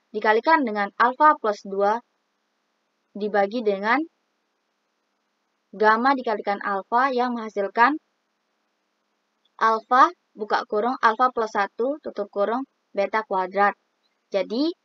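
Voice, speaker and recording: A woman's voice: mid-range voice, no room sound, lo-fi sound. Hesitant woman explaining math formulas. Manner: hesitant, many fillers, reads formulas aloud step by step. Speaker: student presenting a statistics exercise.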